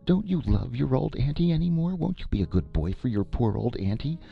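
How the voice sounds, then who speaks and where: A man voicing a fretful, pleading old aunt in a high wavering voice in a storybook reading. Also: sing-song, brisk, gravelly, husky, heavily acted. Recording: lo-fi with faint music.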